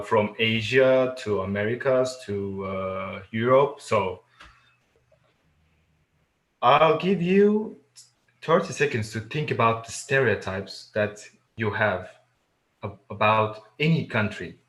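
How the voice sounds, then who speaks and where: A man moderating a casual group discussion on a video call, friendly and unhurried with many fillers. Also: lowish voice, expressive, a bit bright, reverberant.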